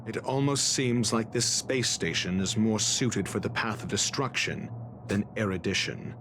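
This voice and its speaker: An actor playing a disdainful scholarly man in a game, measured, articulate and condescending. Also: lowish voice, fairly bright tone, slight background noise, natural voice. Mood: cool disdain. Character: disdainful scholarly man, coolly assessing his enemies.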